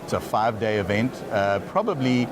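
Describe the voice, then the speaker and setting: A man's voice: lowish voice, lively intonation, fairly quick, crowd noise. A man talking as a company executive in a trade show interview, often stumbling and repeating words at the start of his phrases.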